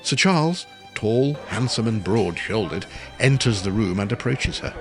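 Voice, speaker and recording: A man's voice: fairly low pitch, animated, normal speed, lightly acted. A man narrating a period farce as a wry, descriptive storyteller with a scripted delivery. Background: prominent music.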